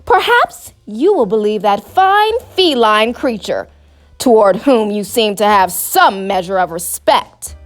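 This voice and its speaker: Lady doing a puffed-up indignant boy fox from a kids book, drawn out and super animated, music under it. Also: medium pitch, a little slow. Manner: pompous, wordy, indignant. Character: vain, pompous male fox, easily flustered. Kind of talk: storybook character voice.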